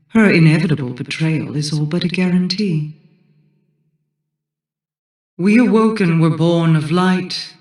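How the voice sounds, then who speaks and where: An actress playing a regal, guarded fantasy queen in a video game, speaking in a formal, imperious manner. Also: deep voice. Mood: commanding, guarded. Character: regal, solemn, guarded Awoken queen, vengeful and commanding. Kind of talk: scripted video game dialogue. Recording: echoing, distant-sounding game voice.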